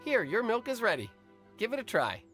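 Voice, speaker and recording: An eager young stall owner, high lively voice, earnest and kinda flustered. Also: male voice, fairly quick, soft background music, full character voice. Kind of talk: game cutscene voice acting.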